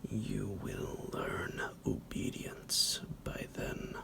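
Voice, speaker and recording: A man playing a psychotic, possessive vampire doctor in an ASMR roleplay, breathy and gravelly, with a drawn-out, menacing, mock-affectionate delivery. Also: lowish voice, steady tone, exaggerated voice. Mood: smug, condescending. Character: psychotic male vampire doctor, possessive, sinister, condescending kidnapper. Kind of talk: ASMR roleplay, slow theatrical monologue.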